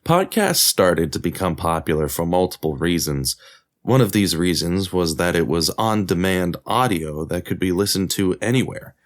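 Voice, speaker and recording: A man's voice: sits low, expressive, normal speed. A man narrating a scripted documentary podcast as its host.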